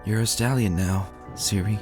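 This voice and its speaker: A man voicing a gentle, sorrowful female pony ruler, an older aunt, speaking in a solemn, measured way in a dramatic reading. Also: fairly low pitch, soft background music, close to an everyday voice. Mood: sad resignation. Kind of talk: dramatic fan fiction reading.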